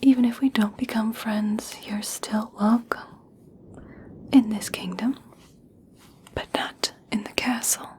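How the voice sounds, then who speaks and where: A woman playing a gracious, coaxing elf princess in a whispered ASMR roleplay, speaking softly and soothingly with formal politeness. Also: a little high, steady tone, slight background noise. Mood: coaxing, veiled. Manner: soft, slow, soothing, formal. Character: regal elf princess, gracious, coaxing, subtly conditional kindness.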